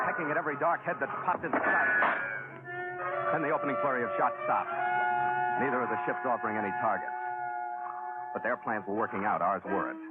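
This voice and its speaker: A man's voice: medium pitch, natural voice. An American man playing a hard-boiled, brusque sea captain in a 1940s radio adventure, terse in speech and storytelling. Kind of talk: 1940s radio drama narration and acting. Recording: tinny old radio recording.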